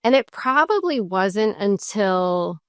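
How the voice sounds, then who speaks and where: A woman's voice: medium pitch, sing-song, slow pace, fairly bright tone, dry recording, studio quality. A woman in her forties, a podcast guest, talking openly and reflectively about her work and self-worth, with frequent restarts. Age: 40s. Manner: reflective, open, many restarts and fillers. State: tearful at moments. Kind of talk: candid conversational interview.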